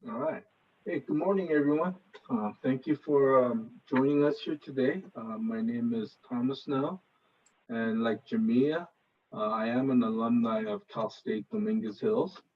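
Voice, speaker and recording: A man in his fifties, born in Korea, with a Los Angeles Koreatown accent, giving a casual info session presentation on a video call and often pausing on filler sounds. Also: medium pitch. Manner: hesitant, many filler sounds. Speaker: presenter, finance professional. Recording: slightly dull video call audio.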